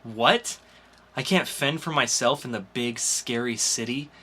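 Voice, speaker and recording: A man acts out a cool, teasing young woman in a comic book reading. The delivery is coy and casually sarcastic, in a bright, forceful voice. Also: mid-range voice, measured pace, husky.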